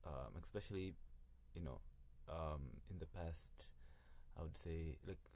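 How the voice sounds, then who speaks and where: A young man with a very low, mellow voice talks as a podcast guest. Swahili is his first language. He speaks hesitantly, pausing and restarting often as he searches for words. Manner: hesitant, many ums and restarts. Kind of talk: casual podcast conversation.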